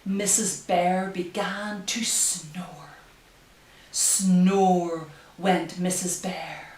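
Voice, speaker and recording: A woman librarian speaking at story time for young children, with careful, deliberate phrasing and pauses. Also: on the low side, little pitch movement, darkish tone. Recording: roomy, distant, faint hiss.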